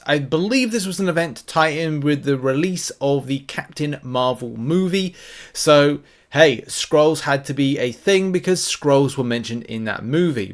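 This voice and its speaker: A man hosting a gaming channel, giving casual, conversational commentary to viewers with emphatic openings to his phrases. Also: on the high side. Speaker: video host and gaming content creator.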